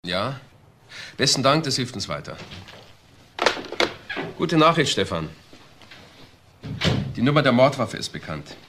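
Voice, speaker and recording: An actor playing a brisk, matter-of-fact assistant detective reporting in a German crime radio drama. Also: male voice, medium pitch, very animated, bright, forceful tone, a little hiss or hum. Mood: businesslike. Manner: businesslike.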